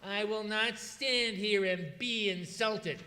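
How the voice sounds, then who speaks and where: An actor playing a haughty, easily offended customer with pompous, drawn-out delivery in an old-time radio comedy. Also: male voice, high-pitched. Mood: indignant. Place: live performance in a museum hall. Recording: noisy, echoey hall stage recording.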